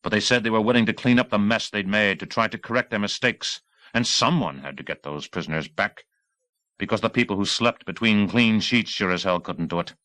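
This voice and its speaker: Guy reading as bitter war vet out for revenge, deep voice, brooding n defiant, dry audiobook sound. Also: brisk, pushed and bright, no room sound. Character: embittered, vengeful male war veteran commando.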